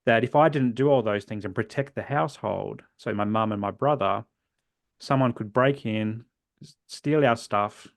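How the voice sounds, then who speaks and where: An Australian man in his forties, a guest in a podcast interview, telling his own story earnestly and reflectively, often trailing off softly at the ends of phrases. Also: on the low side, moderate pace, gravelly, no echo. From South Australia. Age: 40s.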